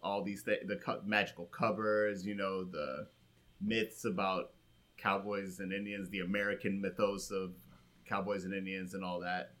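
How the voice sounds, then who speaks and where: A man's voice: low voice, level tone, moderate pace, a bit bright. A man co-hosting a podcast, giving casual but emphatic film opinions and restarting to find his point.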